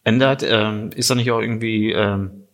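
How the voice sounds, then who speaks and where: Male podcast host, lowish pitch with plenty of fry, informal and enthusiastic interviewer. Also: fairly even intonation, normal speed. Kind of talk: conversational interview.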